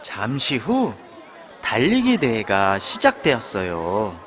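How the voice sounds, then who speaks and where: A man narrating a children's storybook in an expressive, drawn-out way. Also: lowish voice, a faint crowd, slight background noise. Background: background music.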